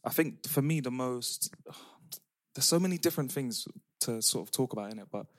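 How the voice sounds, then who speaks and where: Young man, lively storyteller, dry close-miked sound. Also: medium pitch, normal speed, no room sound. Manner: animated storytelling, laughing slang-heavy. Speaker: podcast co-host. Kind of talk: casual chat among friends.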